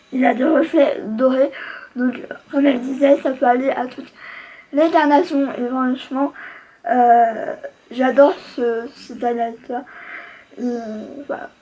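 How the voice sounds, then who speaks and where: A woman with a high-pitched voice talks to her viewers as a beauty vlogger. Her delivery is casual and slangy, full of gushing enthusiasm. A faint layer of noise sits behind her voice. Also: darkish tone, low-quality recording. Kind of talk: casual makeup product chat to viewers.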